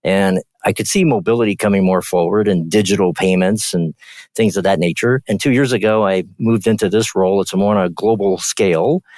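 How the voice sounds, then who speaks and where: A man with a very deep voice speaks as a podcast guest. He talks in a relaxed, businesslike way. The recording is dry, with no room sound. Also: a little fast, no echo. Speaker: podcast guest, payments executive. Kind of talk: podcast interview.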